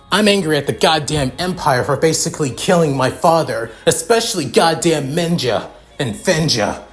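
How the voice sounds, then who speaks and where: A man's voice: medium pitch, lightly acted. A man voicing a furious, grieving son of twenty in a told story, bitter, defiant and emphatic in a profane rant.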